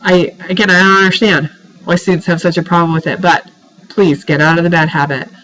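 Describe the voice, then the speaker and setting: A woman's voice: lowish voice, fairly even intonation, fairly quick, fairly bright tone, low-quality recording. A woman teacher speaks informally to her students in recorded feedback. Her voice is stuffed up with a cold. She sounds exasperated and pleading, leaning firmly on her points. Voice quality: congested, stuffed-up nose. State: has a head cold. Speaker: writing teacher.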